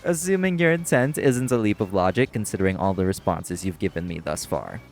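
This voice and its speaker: A low-pitched man acting as a young waiter, prim and exasperated, in an audio roleplay. He speaks in formal, deadpan phrases with patient condescension and dry sarcasm. Music plays behind him. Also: a little fast, vocal fry. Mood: stern, formal. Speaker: voice actor.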